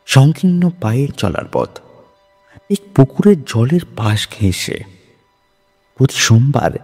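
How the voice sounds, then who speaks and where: A man narrating scripted horror stories in a suspenseful, deliberate way, with dramatic pauses between phrases. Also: mid-range voice, mellow, husky.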